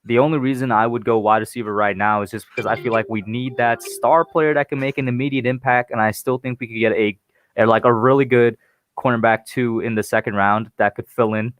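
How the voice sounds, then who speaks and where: A man's voice: medium pitch, steady tone, a little fast, fairly bright tone, dry recording. A man from Guyana talking casually as a panelist on a sports livestream.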